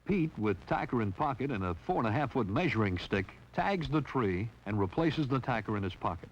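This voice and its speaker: A man's voice: medium pitch, big pitch swings, fairly quick, slight background noise. Wry male narrator on an old film reel. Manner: wry, teasing. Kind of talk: scripted humorous narration. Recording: dull lo-fi 1960s film soundtrack.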